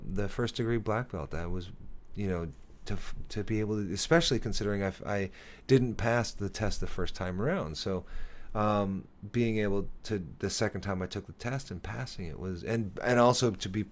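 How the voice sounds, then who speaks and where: Low-voiced man hosting a podcast. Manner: rambling, self-correcting, earnest. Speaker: podcast host. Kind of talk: casual conversational podcast.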